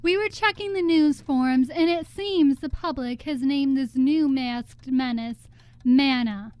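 A woman's voice: sits high, unhurried, a little mellow, no echo. A young woman playing a prim, opinionated news anchor in a student radio play, formal and emphatic. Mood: brisk, formal. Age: young adult. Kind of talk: mock newscast in student radio theatre.

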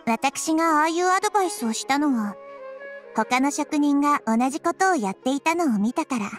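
A woman voicing a small, wise and curious girl deity in an anime game, speaking gently and thoughtfully. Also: high voice, lively intonation, soft background music. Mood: pensive. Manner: gentle, thoughtful, measured feminine speech. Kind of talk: Japanese anime game voice acting.

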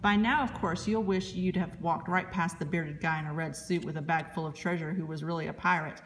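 A woman reading a children's picture book aloud as a librarian, in expressive, deliberate narration. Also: deep voice, a little hiss or hum.

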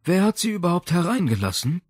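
A man's voice: sits high, sing-song, quick pace, slightly rough, dry recording, voice pushed up, heavily acted. A man reads as a big, weary music professor in a crime audiobook. He sounds irritable and defensive, and the recording is very dry. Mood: quiet suspicion. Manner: tired, irritable.

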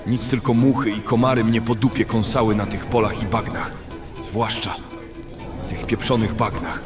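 A man's voice: lowish voice, little pitch movement, moderate pace, over music, lightly acted. A man playing a brash, greedy fantasy adventurer in an audio drama, talking in a coarse, boastful, grumbling way.

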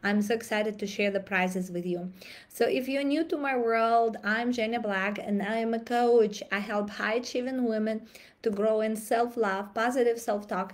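A woman in her thirties, a life coach, talking casually to viewers on a live stream from a small home office through a laptop webcam; her voice is raspy from a cold, and she laughs and clears her throat often. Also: on the high side.

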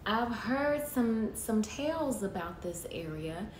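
A woman's voice: expressive, takes it slowly. Woman as a cautious, worried storybook seal. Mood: uneasy. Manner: hesitant. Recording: echoey room with faint rumbly noise.

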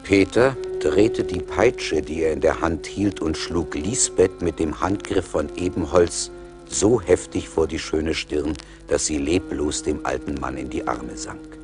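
Male storyteller, bass-deep, slow, scripted fairy-tale narration. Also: music in the background.

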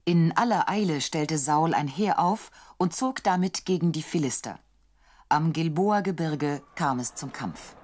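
A woman narrating a scripted Bible story in a composed, measured way. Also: low-pitched, lively intonation, a little mellow.

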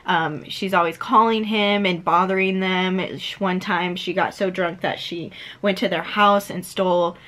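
A young woman in her twenties talks straight to the camera about a book she has read. She speaks casually and chats along, dropping "like" all through her sentences, and now and then glances at her notes. Her voice sits a little low for a woman. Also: steady tone, measured pace, few pauses, fairly bright tone. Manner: chatty, heavy use of "like", reads from notes. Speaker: book vlogger. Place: indoor room with dark backdrop.

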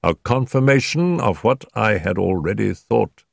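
A man voicing a calm, incisive male detective who speaks in measured, clipped phrases. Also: lowish voice, creaky, no room sound, clean studio sound, pitched above the performer's own voice, heavily acted.

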